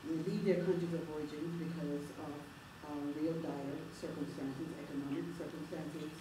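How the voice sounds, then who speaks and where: A middle-aged woman with a Jamaican accent, giving an earnest, halting town hall speech full of fillers and restarts. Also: low-pitched, fairly even intonation, recorded from a distance. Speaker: civil rights advocate speaking at a town hall. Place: public meeting hall. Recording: roomy hall recorded from afar, noisy.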